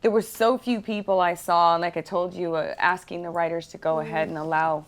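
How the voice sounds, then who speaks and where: Bahamas-raised actress guest, lowish voice, sincere storyteller. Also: female voice, steady tone, a little fast. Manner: earnest, reflective storytelling. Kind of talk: casual interview chat.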